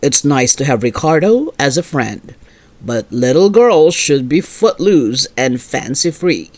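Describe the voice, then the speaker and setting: Lady doing a dad in a kids book, deep-ish put-on voice, firm, plain-spoken, slow. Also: very animated, darkish tone, no echo, heavily acted. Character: firm, plain-spoken father of a little girl. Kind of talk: children's storybook character voice.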